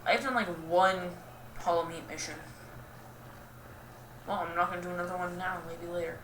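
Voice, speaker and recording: A woman's voice: fairly low pitch, flat delivery. Young woman rambling over gameplay, echoey distant mic. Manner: self-deprecating, goofy, hesitant and halting. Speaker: gaming video host. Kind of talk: casual, rambling gameplay commentary. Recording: roomy, distant mic with clear noise.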